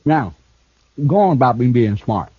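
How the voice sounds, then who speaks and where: An actor playing a cool, smart escaped killer and thief in a 1950s radio drama, talking with a smooth, taunting ease. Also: male voice, medium pitch, lively intonation, moderate pace, no echo. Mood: smug, taunting. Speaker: outlaw prisoner. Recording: dull old radio broadcast recording.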